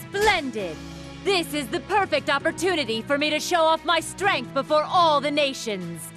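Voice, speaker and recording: High, proud commanding warrior goddess. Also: female voice, very animated, normal speed, music in the background. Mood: proud, eager. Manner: imperious, formal, declamatory. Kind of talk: dramatic anime game acting.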